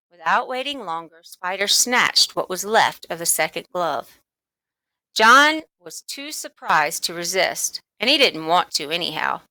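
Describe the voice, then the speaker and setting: A woman's voice: lowish voice, pushed and bright, no room sound. A woman narrating a children's storybook as a read-along audiobook.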